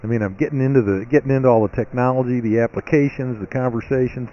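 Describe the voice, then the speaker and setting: A man's voice: medium pitch, fast, muffled recording, slight background noise. A man co-hosting a live radio show, chatty and asking follow-up questions.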